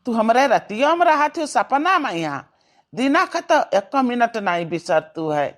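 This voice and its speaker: A woman's voice: on the high side, big pitch swings, normal speed. A woman with an Awadhi accent voicing a devoted, sentimental young village sweetheart in a cartoon comedy. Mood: adoring. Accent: Awadhi, eastern Uttar Pradesh.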